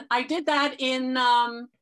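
Woman guest, bright and lively, a touch congested, an eager storyteller. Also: sits high, very slow. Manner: chatty, enthusiastic storyteller with frequent fillers. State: slightly stuffy from a cold. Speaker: interview guest, fitness client. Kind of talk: casual conversational chat.

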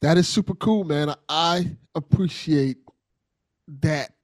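A man's voice: fairly high pitch, takes it slowly, no echo, clean studio sound. A man hosting a podcast, chatting in an informal, appreciative way with his guest. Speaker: podcast host, voice actor. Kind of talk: casual conversational interview.

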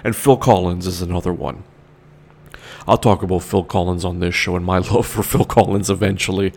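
Deep gravelly man rambling. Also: fairly even intonation, fairly quick. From Canada. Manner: rambling, enthusiastic, many fillers and restarts. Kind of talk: casual solo talk.

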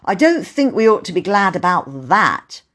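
A woman voicing an earnest young girl in a storybook, a gentle, loyal sister who pleads and insists. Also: on the low side, very animated, moderate pace. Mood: gentle, insistent. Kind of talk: storybook character voice.

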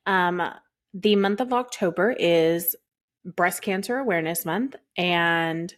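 A woman's voice: lowish voice, slow. A woman from Texas, co-hosting a podcast in a rambling, casual way with lots of fillers. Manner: rambling, laughs often, many fillers. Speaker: podcast co-host.

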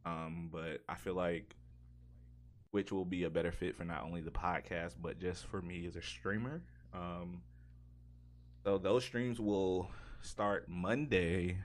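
A man with a very deep voice hosts a casual gaming-style podcast. He speaks informally, like a streamer chatting to regulars, and drops slang into his sentences. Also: steady tone, a little slow.

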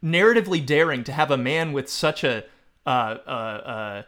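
A man's voice: medium pitch, animated, normal speed, a bit bright. A man hosting a podcast film quiz with joking banter and a mock-formal quizmaster delivery. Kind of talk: comic film trivia banter.

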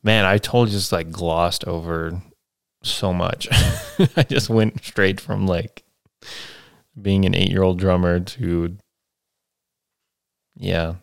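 A man in his late twenties talks alone into a close mic, his lowish voice smooth and resonant. The sound is dry and intimate. He rambles and reflects, checking in with little "right?" tags as he goes. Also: steady tone, slow pace, long pauses, no echo. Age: 20s. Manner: rambling, reflective, lots of fillers and tag questions. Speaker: podcast host. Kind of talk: casual solo podcast monologue.

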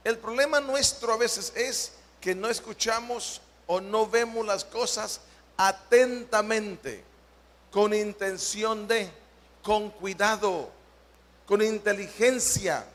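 A Salvadoran man preaching a Bible study as pastor, with slow, emphatic delivery that stretches key words and puts questions to the congregation. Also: high voice, fairly bright tone. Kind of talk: sermon. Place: church hall. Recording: long church-hall reverb.

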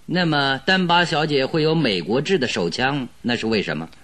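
A man playing a shrewd detective in a radio drama, questioning and reasoning in a deliberate way. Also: medium pitch, fairly bright tone, lightly acted. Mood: probing. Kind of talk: Mandarin radio drama acting.

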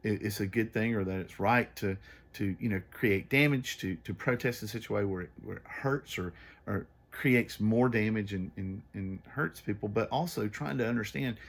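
Earnest man with a low voice. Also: moderate pace, creaky. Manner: earnest, searching for words, many repeats. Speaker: podcast co-host. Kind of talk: casual faith conversation.

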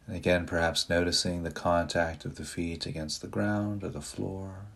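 A man leading a guided meditation in a soft, breathy voice, giving gentle, unhurried instructions with long pauses. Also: low-pitched, little pitch movement.

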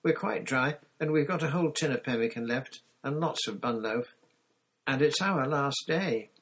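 A man's voice: mid-range voice, animated, normal speed, a bit bright, husky, pitched above the performer's own voice. A man voicing an eager, earnest young girl adventurer in a children's story. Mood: pleading.

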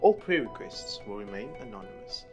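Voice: mid-range voice, sing-song, normal speed. An announcer reading a scripted podcast outro. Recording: music under the voice. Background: guitar music.

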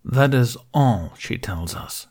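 A man voicing a stern senior Jedi master who asks curt, probing questions in a calm but hard way. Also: medium pitch, takes it slowly, breathy edge, exaggerated voice. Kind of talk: audiobook character voice.

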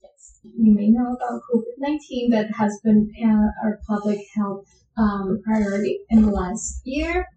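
A woman from Colombia, a health educator, answering interview questions in a measured, thoughtful way with many pauses. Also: mid-range voice, warm tone, a little hiss or hum. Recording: dull, echoey, distant sound.

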